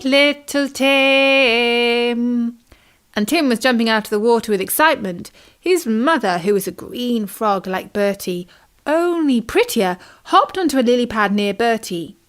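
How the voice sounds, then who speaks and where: A woman with a British accent narrating a children's bedtime story expressively as a storyteller. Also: fairly high pitch.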